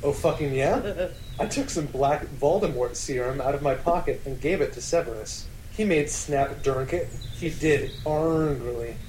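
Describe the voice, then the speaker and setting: Higher-voiced man on a noisy podcast. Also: normal speed, darkish tone. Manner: often breaking into laughter while reading. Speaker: podcast co-host. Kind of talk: dramatic reading of fan fiction and casual banter.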